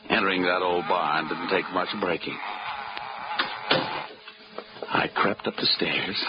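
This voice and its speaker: An actor playing a wisecracking, hard-boiled insurance investigator who narrates in the first person, in a dry, wry manner. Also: male voice, low voice, background noise. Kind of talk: 1940s radio acting with first-person narration. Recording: muffled 1940s radio broadcast with loud music.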